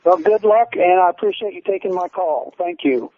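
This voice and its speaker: A man with a high-pitched voice and a Midwestern American accent speaks as a caller on a dull phone line. He is plain-spoken and earnest, like a working farmer putting his questions. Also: normal speed, dry recording. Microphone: telephone. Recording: dull muffled telephone line.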